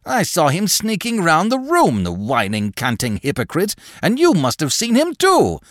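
A rambling old gentleman in a storybook reading, his voice sharp and strangely unnatural, lurching between excited outbursts. The voice sits high and swings widely in pitch. It is recorded close and dry. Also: moderate pace, no echo. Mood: wild, excited.

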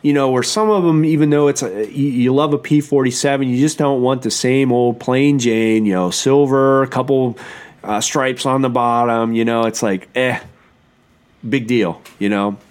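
A man's voice: mid-range voice, fairly even intonation, moderate pace, darkish tone. A man hosts a casual podcast chat, his voice worn from a sore throat. He rambles enthusiastically, stacking up fillers as he goes. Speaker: podcast host.

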